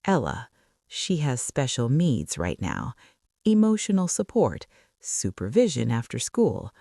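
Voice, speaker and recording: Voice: mid-range voice, wildly swooping pitch, a little slow, creaky, mellow, no echo, studio quality, full character voice. A narrator voicing a controlled, guarded billionaire boss and single father in an audiobook. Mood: curt, controlled.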